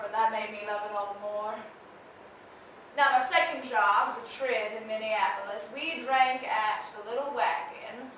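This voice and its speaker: A teenage girl playing a sharp-witted, hard-drinking Texan woman newspaper columnist in a theatrical competition monologue, wry and swinging from hushed to loud. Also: fairly high pitch, natural voice. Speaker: competitive speech performer. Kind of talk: dramatic monologue recited for a speech competition. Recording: echoey room, distant mic, faint noise.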